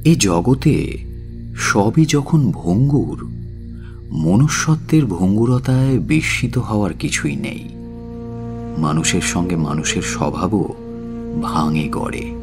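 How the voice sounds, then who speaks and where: A deep-voiced man narrates a dramatic audio story over faint music. His delivery is slow and solemn, with widely moving, expressive intonation.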